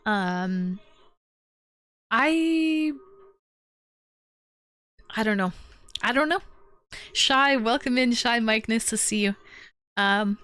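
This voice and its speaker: A woman's voice: a little high, extremely slow, long pauses, a bit bright, dry recording. Chatty young woman streaming to her viewers. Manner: chatty, rambling, talks straight to chat viewers. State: stuffy from a lingering cold. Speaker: livestream host. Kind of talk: casual chat reading viewer comments. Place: home.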